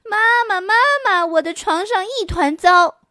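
Lady putting on a squeaky whiny little girl, very high, bouncing all over, dry close sound. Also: normal speed, sharp tone, no room sound. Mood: excited.

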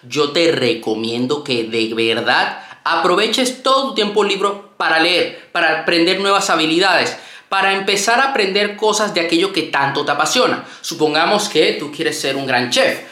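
A man's voice: fairly high pitch, bright, forceful tone, roomy sound. A man from Venezuela giving a motivational talk to camera on his YouTube channel, speaking earnestly and straight to the viewer. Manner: earnest, addresses viewer directly. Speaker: YouTube self-help creator.

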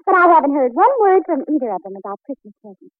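A woman with an American accent playing a sensible, gently teasing young housewife and mother in 1940s radio comedy, coaxing and wry. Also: high voice, sing-song, fast talker, dry recording. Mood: sweet. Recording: muffled, narrow-band old radio recording.

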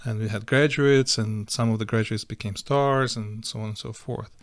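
A middle-aged man from Ukraine, a guest telling his story in a casual interview, halting, with many fillers and restarts. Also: on the low side, fairly even intonation, no room sound.

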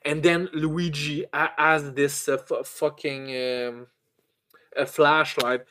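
A man with a slightly high voice talks casually as a podcast co-host. He stammers and restarts often, repeating words as he lays out blunt, sweary criticism of a film. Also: flat delivery, slow, bright, forceful tone. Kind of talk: casual movie review chat.

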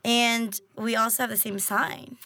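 A young woman in her twenties with a raspy voice, hosting a casual chat and teasing her guest bluntly. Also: fairly high pitch, flat delivery, sharp tone, dry recording, studio quality. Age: 20s. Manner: teasing, blunt, quick to joke. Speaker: podcast host.

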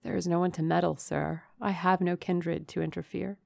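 An earnest young woman in love acted by a woman reader speaks with guarded, questioning devotion. Her voice has a creaky edge and sits in a dry, close audiobook recording. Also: on the low side, little pitch movement, normal speed, a little mellow, no room sound. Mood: devoted. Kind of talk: dramatic audiobook character reading.

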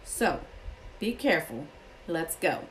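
A woman voicing a gruff but kindly giant male gamekeeper from a storybook, protective and cautious, with rustic, colloquial speech. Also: deep voice, sing-song, takes it slowly, fairly bright tone, a little hiss or hum, heavily acted, rough recording. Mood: brisk, commanding. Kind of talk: storybook character voice.

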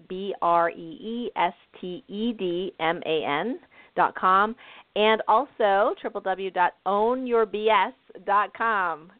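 A woman's voice: mid-range voice, no room sound. A woman hosting a live call-in radio show, talking in a bubbly, enthusiastic way and laughing often. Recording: tinny phone-line audio.

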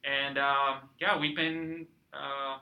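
A man from Peru in his thirties, chatting as a podcast guest, telling stories warmly with lots of fillers. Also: medium pitch, level tone, drawn-out words, highs cut off. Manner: warm storytelling with many fillers. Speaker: guest, cultural center director. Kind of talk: casual interview chat.

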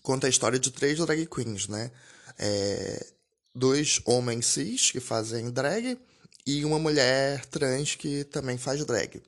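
A man's voice: mid-range voice, takes it slowly. Chatty young man reviewing a film. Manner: chatty, full of "né?" and restarts, laughs at himself. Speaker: podcast host. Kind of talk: casual solo podcast film review.

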